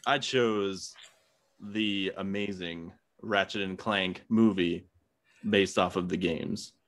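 Young man, bright voice, dry sound. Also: low-pitched, takes it slowly, no echo. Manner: sweary, joking. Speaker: debate contestant. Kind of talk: casual argument and banter.

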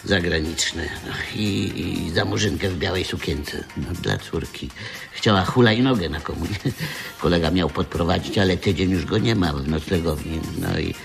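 An actor playing a down-and-out, streetwise scrounger in a radio drama, talking in rambling, halting slang with a gravelly voice. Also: male voice, sits low, soft background music. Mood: halting, sheepish. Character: down-and-out streetwise Polish scrounger, tinkerer, petty shoplifter.

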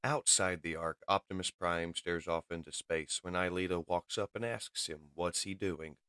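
A man's voice: deep voice, steady tone, moderate pace, bright, forceful tone, clean tone, dry recording, clean studio sound. A man giving dramatic scripted narration as the storyteller of a comic book reading.

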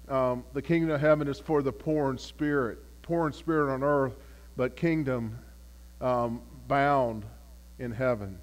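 Pastor, male, phlegmy throat, halting Bible study delivery with fillers. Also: a little high. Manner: halting, repeats words, many fillers. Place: church. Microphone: camera.

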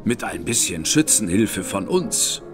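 An actor playing a composed, shrewd older brother who lays out plans in a measured, wry manner. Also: male voice, fairly low pitch, expressive, over music. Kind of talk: German audio drama acting. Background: faint background score.

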